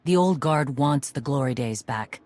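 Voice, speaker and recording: A woman playing a gruff, battle-worn warrior clan leader in a video game, with a blunt, weary manner. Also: extremely low pitch, expressive, normal speed, darkish tone. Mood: weary frustration.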